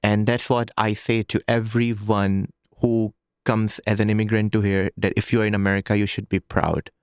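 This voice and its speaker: A man's voice: fairly low pitch, level tone, moderate pace, smooth, no echo, clean studio sound. A man in his twenties from Pakistan, with a Pakistani accent, telling his own story as a podcast guest and repeating phrases for emphasis. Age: 20s. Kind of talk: recounting personal story in an interview.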